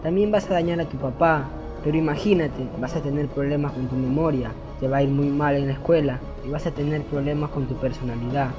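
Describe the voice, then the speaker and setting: A man's voice: a little high, steady tone, fairly quick, few pauses, muffled recording, close to an everyday voice. A man playing a sensible, earnest friend who gives advice in an educational radio play. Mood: earnest, friendly. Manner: earnest, advising. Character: sensible, earnest male friend giving warnings. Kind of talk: educational radio soap acting. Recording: music under the voice.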